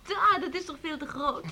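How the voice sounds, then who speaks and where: A woman's voice: very high-pitched, sing-song, measured pace, heavily acted. An actress playing a cheerful, teasing young farm daughter in a radio drama, with a girlish manner. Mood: playful. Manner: bright, girlish, giggly. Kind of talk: Dutch radio drama acting.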